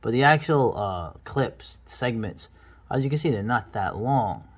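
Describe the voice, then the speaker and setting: A man's voice: mid-range voice, lively intonation, measured pace, husky. A middle-aged man giving casual, rambling, enthusiastic commentary as the host of a video review.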